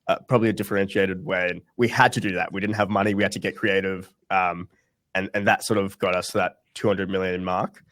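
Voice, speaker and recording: A man's voice: deep voice, fairly bright tone, no room sound. A young man in a webinar interview, talking casually with lots of ums and restarts. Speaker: guest founder.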